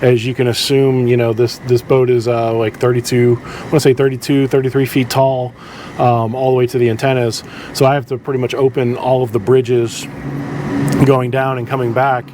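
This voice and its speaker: A man talks through practical tips in a casual vlog style. His mid-range voice moves in a halting, conversational way. A low ambient drone sits faintly behind the speech. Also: level tone, a little mellow, a little hiss or hum. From southeastern United States. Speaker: charter boat captain presenting tips.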